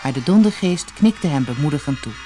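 A storyteller reading a scripted fairy tale in an expressive, dramatic manner. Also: medium pitch, fairly quick, faint music behind.

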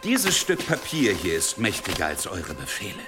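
A man's voice: sits low, huge pitch swings, normal speed, over loud music. An actor in a German radio play, playing a commanding, formal medieval man who makes arrests with cool menace.

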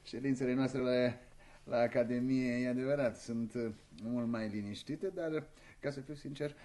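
An actor playing an eager, delighted elderly academician with effusive, theatrical delivery in a radio comedy. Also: male voice, mid-range voice, steady tone, dark tone, close to an everyday voice. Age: older.

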